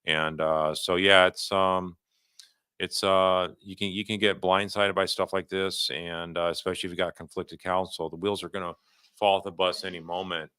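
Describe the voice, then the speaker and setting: A man hosting a livestream, giving unscripted legal commentary and answering viewer questions in a halting, conversational way, often rephrasing himself. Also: deep voice, level tone, sharp tone, clear, resonant tone, no echo.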